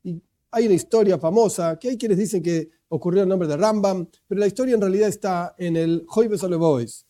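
A man delivers a religious lesson in an explanatory, emphatic way, often asking and then answering his own questions. His voice is high for a man yet very dark and mellow in tone. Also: a little fast. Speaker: religious teacher. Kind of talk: religious lecture.

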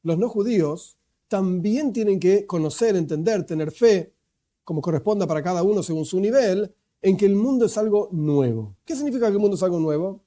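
A man's voice: high voice, lively intonation, a little fast, warm tone. A man giving a religious lecture, explaining emphatically and asking rhetorical questions. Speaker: religious teacher.

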